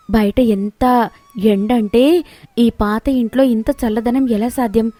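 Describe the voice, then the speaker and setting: A woman voicing a young, humbled city daughter-in-law in a moral story, expressive and earnest. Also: sits high, no room sound. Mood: pleasantly surprised.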